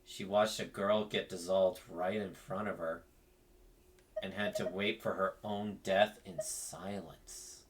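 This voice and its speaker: A man streaming a game reaction, reading text aloud. His voice is low and he takes his time over the words. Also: steady tone, slow, slight background noise.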